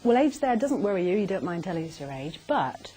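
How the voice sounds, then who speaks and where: A woman's voice: medium pitch, big pitch swings, fairly quick, dark tone. A woman hosting a live on-stage interview with light, teasing banter. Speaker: interviewer, host. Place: arts centre theatre, live on stage before an audience.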